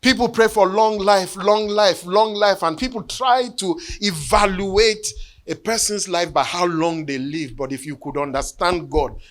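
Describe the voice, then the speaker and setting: A man preaches in a voice that sits a little higher than most men's. He tells a personal story earnestly and often drops to a hush for weight. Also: measured pace. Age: 50s. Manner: earnest storytelling, sinking to hushed tones. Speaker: bishop. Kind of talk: sermon with personal testimony.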